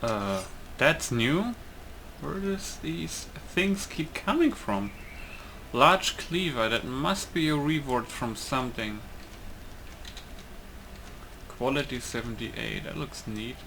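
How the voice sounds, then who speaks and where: A man's voice: mid-range voice, animated, slow, pauses often, bright, forceful tone. A man giving casual let's play commentary, talking half to himself as he plays. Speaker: gamer, video host. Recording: clear background game noise.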